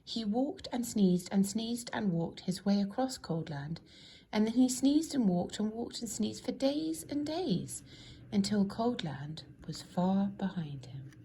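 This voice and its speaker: A woman narrating a children's storybook in an expressive, deliberate storytelling manner. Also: medium pitch, slight background noise, poor audio. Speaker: storybook reader.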